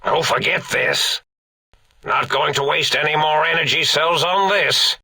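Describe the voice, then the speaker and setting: An actor playing a manic, cackling old mad scientist villain in a video game, theatrical and grandiose, with a raspy, gravelly voice and drawn-out delivery. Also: male voice, a little high, pushed and bright, full character voice. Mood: irritated, muttering.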